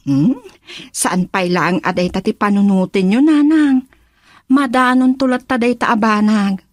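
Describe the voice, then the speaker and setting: An actress playing a dutiful, patient young daughter in an Ilocano radio drama. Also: female voice, medium pitch, expressive, a little slow, no room sound, studio quality, close to an everyday voice. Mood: patient, reassuring.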